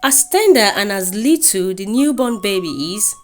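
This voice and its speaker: A woman's voice: mid-range voice, animated, moderate pace. A woman with a Nigerian accent narrating a scripted folktale in a dramatic storytelling style. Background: music.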